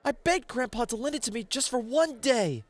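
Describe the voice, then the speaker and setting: A woman playing a cheerful, excitable teenage boy card player in an audio manga drama. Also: fairly high pitch, normal speed, dry recording. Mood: friendly, trusting.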